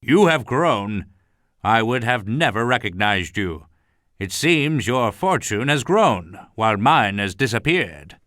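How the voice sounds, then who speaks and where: Narrator plays a weary, ruined knight: slow, heavy, resigned delivery, with a timbre unlike the narration, for a storybook. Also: male voice, medium pitch, very animated, a bit bright, no room sound, studio quality. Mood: sad. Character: weary, downcast older male knight.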